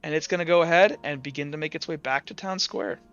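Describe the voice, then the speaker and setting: A man running a tabletop roleplaying game as game master, improvising dramatic narration haltingly and swearing casually. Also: a little high, a bit bright, clear, resonant tone, lo-fi sound, soft background music.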